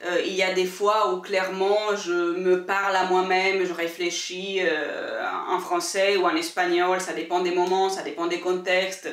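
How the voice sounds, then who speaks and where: A woman's voice: lowish voice, flat delivery, moderate pace, hardly any pauses, roomy sound, distant mic. A woman whose first language is Italian, speaking French as she answers viewer questions on her book channel, talking thoughtfully with frequent hesitations and repeated words. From Italy.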